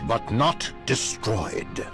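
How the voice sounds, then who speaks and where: A man's voice: lowish voice, very animated, drawn-out words, music in the background, heavily acted. A man playing a proud, embittered mutant leader and harsh realist in a video game cutscene. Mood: bitter, grave.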